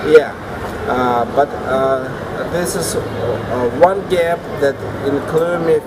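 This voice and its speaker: Slow, hesitant man. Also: mid-range voice. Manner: halting, heavy hesitation fillers. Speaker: co-host. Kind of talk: casual chat reviewing startups. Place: indoor atrium of a large building. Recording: noisy ambience in a large space.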